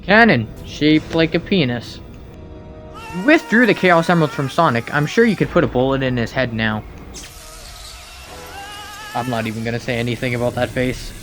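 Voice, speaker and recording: A man's voice: mid-range voice, normal speed, long pauses, fairly bright tone, soft background music. A man giving mocking, nitpicking commentary on game cutscenes in an incredulous, sarcastic manner. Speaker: video commentator.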